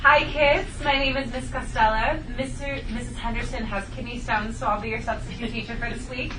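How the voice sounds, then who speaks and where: A woman plays a cheerful, encouraging young substitute teacher with a high voice. She addresses a class in a sitcom table read, recorded from a distance in an echoey, noisy room. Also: fairly even intonation, fairly quick, lightly acted. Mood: friendly. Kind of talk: comedy script table read.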